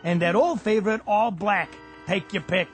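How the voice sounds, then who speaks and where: An actor playing a matter-of-fact office coffee vendor with a clipped patter in a radio drama. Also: male voice, high-pitched, normal speed, faint music behind, lightly acted. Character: plain-spoken male coffee vendor doing office rounds.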